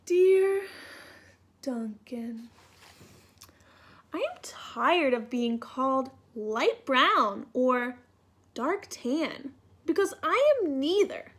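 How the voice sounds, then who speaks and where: A woman voices an overlooked beige crayon from a storybook, proud and resentful. It speaks slowly and deliberately in a high, aggrieved voice. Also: expressive, full character voice. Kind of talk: children's picture book read-aloud.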